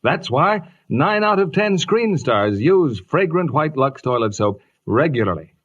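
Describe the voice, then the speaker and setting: A man's voice: fairly high pitch, big pitch swings, unhurried, slightly rough. A man working as a formal radio announcer in 1950s style, reading scripted copy with a salesman's delivery. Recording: dull vintage radio broadcast, music behind.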